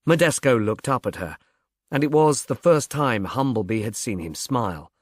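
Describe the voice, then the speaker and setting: Expressive man narrating, dry studio sound. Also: mid-range voice, normal speed, no room sound. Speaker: audiobook narrator. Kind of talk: scripted detective novel narration.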